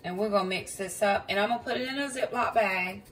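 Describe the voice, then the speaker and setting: A woman's voice: mid-range voice, fast. A woman with a middle-range voice chats through a cooking walkthrough at her kitchen counter, explaining each step as she goes with the odd um. She sounds a little distant from the phone, with a faint hiss of background noise. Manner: chatty, explaining step by step with ums. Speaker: mom vlogger. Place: home kitchen counter indoors. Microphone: phone camera pointed down at the counter. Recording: voice a bit far from the mic, faint background noise.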